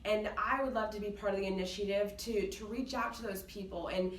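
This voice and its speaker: A woman giving an earnest, enthusiastic unscripted pitch about workplace values, with a loud voice. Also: fairly even intonation, a little fast. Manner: enthusiastic, earnest, says she has a loud voice. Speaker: employee applying to a company culture club. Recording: roomy, slightly distant mic with faint background noise.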